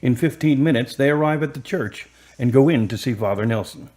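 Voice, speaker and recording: Mid-pitched male radio drama narrator. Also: a little fast, darkish tone.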